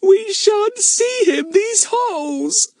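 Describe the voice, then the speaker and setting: A man's voice: very high voice, slow, dry recording, pitched above the performer's own voice, exaggerated voice. A man putting on a wailing little girl. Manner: wailing, excitable. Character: small, emotional young girl.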